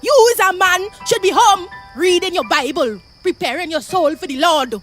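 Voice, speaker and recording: A nosy Trinidadian woman in her forties in a radio drama, bossy and given to melodrama. Her voice is extremely high and animated, with music behind it. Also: moderate pace. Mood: scolding, bossy. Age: 40s. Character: nosy, excitable middle-aged Caribbean neighbourhood busybody woman. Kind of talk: radio play acting.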